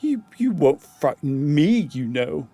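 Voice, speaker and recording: A man voicing an excitable, frightened character in a horror story reading, trembling and melodramatic as he bluffs bravery. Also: on the high side, takes it slowly, gravelly, slightly rough, dry recording, soft background music, heavily acted.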